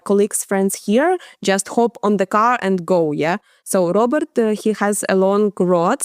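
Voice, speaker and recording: A woman's voice: medium pitch, a little mellow, no echo, clean studio sound. A woman from Ukraine, a journalist guest, answering interview questions in careful, hesitant English with many fillers and non-native phrasing.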